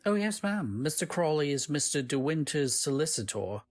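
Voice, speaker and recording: Radio drama servant, played by a woman: extremely low register, lively inflection, old-fashioned politeness, eager to please. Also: normal speed. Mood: polite. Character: humble, courteous manor servant, eager to please.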